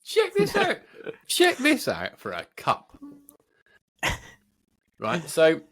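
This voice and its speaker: A man co-hosting a podcast, chatting casually and off the cuff in a rambling, playful, excitable way. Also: sits high, slow. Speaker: podcast co-host. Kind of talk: casual unscripted podcast chat.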